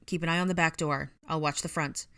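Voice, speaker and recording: A woman's voice: sits low, steady tone, brisk, a bit bright, clean tone, dry recording, clean studio sound. Young male leader, played by a woman narrator, urgent, commanding, direct, audiobook hero. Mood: brisk, controlled.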